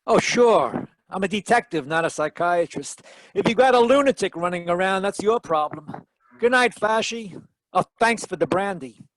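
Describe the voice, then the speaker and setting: A man's voice: high-pitched, animated, moderate pace, dry recording, low-quality recording. A man playing a wisecracking hard-boiled detective who narrates in 1940s radio mystery style, wry and slangy. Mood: wry, pressing. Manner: wry, slangy, worldly-wise. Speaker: actor in a radio mystery performance. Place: home, live video call performance.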